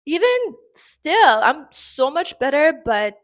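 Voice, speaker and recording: A woman from Iran with an Iranian accent, speaking English as a second language, chatting expressively in a casual vlog full of fillers and sudden pitch jumps. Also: animated, slow. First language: Farsi. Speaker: vlogger.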